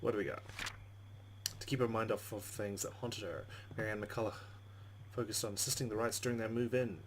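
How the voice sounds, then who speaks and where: A man's voice: fairly low pitch, fairly even intonation, a little fast, slight background noise, rough recording. A man hosting a let's play, reading visual novel narration aloud and adding casual commentary.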